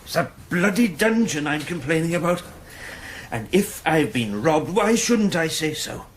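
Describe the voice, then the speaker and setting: An actor playing a grumpy, pompous professor who complains querulously in a radio drama. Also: male voice, fairly high pitch, expressive, measured pace, slight background noise. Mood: indignant, grumbling. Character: grumpy, indignant male professor, self-pitying convalescent.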